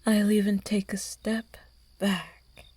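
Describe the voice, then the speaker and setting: A woman voicing a seductive, predatory female vampire who teases her prey in slow, close, breathy whispers in an ASMR roleplay. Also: mid-range voice, fairly even intonation, a little mellow, dry recording. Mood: seductive teasing.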